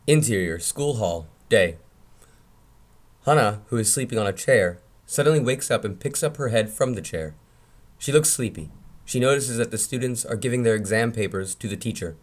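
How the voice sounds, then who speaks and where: A man's voice: fairly low pitch, lively intonation, moderate pace. A man reading screenplay scene descriptions as narrator in a table read.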